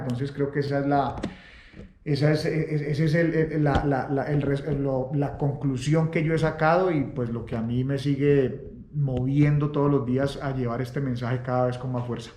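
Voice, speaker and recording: A Latin American man hosting an interview, talking sincerely and haltingly with many fillers. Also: fairly high pitch, warm tone. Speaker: host. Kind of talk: interview conversation. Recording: roomy echo.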